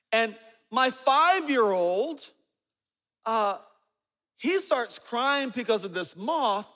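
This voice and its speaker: A man in his forties preaching a sermon, telling stories with humor and dropping low and quiet for asides. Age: 40s. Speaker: pastor. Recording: reverberant hall.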